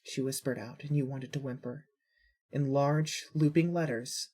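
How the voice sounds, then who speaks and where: A woman with a husky voice reading scripted fiction as a narrator. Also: very deep voice, steady tone, unhurried, warm tone.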